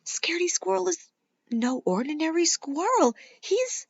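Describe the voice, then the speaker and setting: Female storybook reader, teacher style: swooping, theatrical delivery with deliberate pauses, dry and slightly muffled recording. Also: very high voice, big pitch swings, gravelly, no echo. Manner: dramatic, playful, with emphatic pauses and whispers. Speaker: teacher reading a children's picture book aloud. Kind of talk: expressive children's storybook read-aloud.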